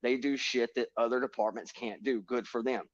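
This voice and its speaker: A man's voice: medium pitch, steady tone, brisk, dry recording. Man ranting hard on a lo-fi stream. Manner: emphatic, profane, lecturing rants. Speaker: livestream panelist. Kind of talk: heated panel chat. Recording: dull lo-fi stream audio.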